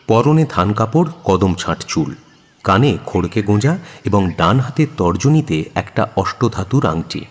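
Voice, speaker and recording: A man narrating a suspense story in a dramatic scripted style, with a husky voice. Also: fairly low pitch, long reverb tail.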